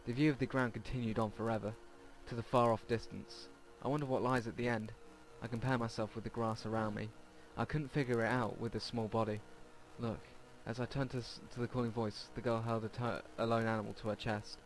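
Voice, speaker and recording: A man's voice: mid-range voice, little pitch movement, fairly quick, slight background noise, rough recording. A man hosting a let's play, reading visual novel text aloud and stumbling over words now and then. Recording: game music behind the voice. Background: game music.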